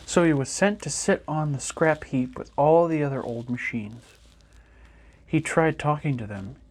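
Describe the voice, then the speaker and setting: A man reading a children's picture book aloud in an expressive storytelling manner. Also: on the high side, a little slow. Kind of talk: children's story time narration.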